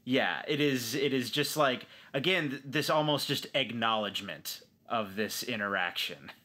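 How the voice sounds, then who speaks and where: Bright-voiced man improvising in a tabletop game. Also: medium pitch. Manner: halting, searching, repeating words. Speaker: player in a tabletop role-playing game. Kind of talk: improvised description of a character in actual play.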